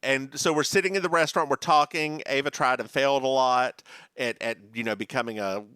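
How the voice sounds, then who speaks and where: Bright, animated man gossiping about TV. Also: mid-range voice, a little fast, smooth, dry recording. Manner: animated, campy, mimics characters. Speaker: podcast co-host. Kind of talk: casual chat recapping a TV episode.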